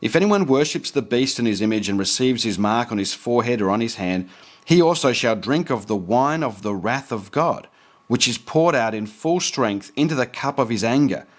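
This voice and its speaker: A man's voice: medium pitch, expressive, moderate pace. Earnest man preaching and reading aloud. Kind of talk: preaching commentary with read-aloud quotations.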